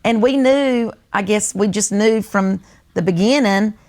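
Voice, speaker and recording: A woman's voice: mid-range voice, measured pace, darkish tone. An older woman with a Southern US accent, chatting casually and reminiscing in a folksy storytelling way. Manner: folksy storytelling, acts out remembered family dialogue. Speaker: host.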